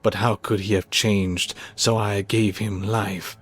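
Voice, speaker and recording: Guy doing an arrogant mad scientist for an audio play, real deep n slow, super theatrical. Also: little pitch movement, slightly rough, soft background music. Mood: anguished. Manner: grandiose, theatrical. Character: obsessed, arrogant scientist who creates a monster. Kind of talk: amateur audio drama performance.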